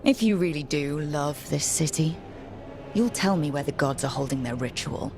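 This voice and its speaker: A woman's voice: lively intonation, moderate pace, background noise. A woman playing a resolute, wry adventuring heroine in a video game, with a dry and guarded delivery. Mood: dry challenge. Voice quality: low, gravelly. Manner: dry, guarded, matter-of-fact. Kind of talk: natural video game dialogue acting.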